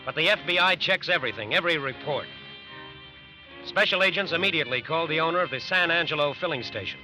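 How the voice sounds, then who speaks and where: Casting: earnest crime-show narrator, highish sharp voice, emphatic scripted delivery, vintage radio with faint music. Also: male voice, expressive, low-quality recording. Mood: urgent, factual. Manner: emphatic, deliberate repetition. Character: earnest, dramatic male narrator of FBI manhunt. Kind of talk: scripted narration, 1940s-50s radio drama.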